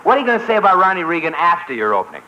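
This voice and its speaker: A man playing a breezy, girl-chasing bachelor pal in a 1950s radio comedy, with brisk joking banter. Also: high voice, big pitch swings. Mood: joking, gossipy. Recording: scratchy, muffled 1950 radio broadcast recording.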